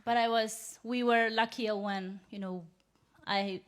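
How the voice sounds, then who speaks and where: A woman in her thirties from Myanmar with a Burmese accent, whose first language is Rohingya, giving a measured, earnest personal testimony as an invited speaker. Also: on the high side, steady tone, noisy background. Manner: measured, earnest storytelling with pauses.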